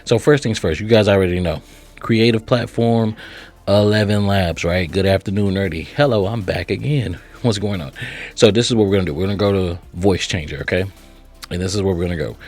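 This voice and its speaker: A man's voice: deep voice, fairly quick, a little mellow. A man hosting a casual step-by-step tutorial, chatty and instructional, often checking in with short tags. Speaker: host of software tutorial channel.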